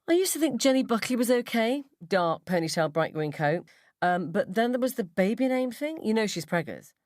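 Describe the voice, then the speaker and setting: A woman's voice: fairly high pitch, very animated, moderate pace, darkish tone, no echo, clean studio sound, voice pushed up. A woman playing a scornful, gossipy mother at the school gates in a radio story, with an emphatic, mocking drawl. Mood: gossipy disdain.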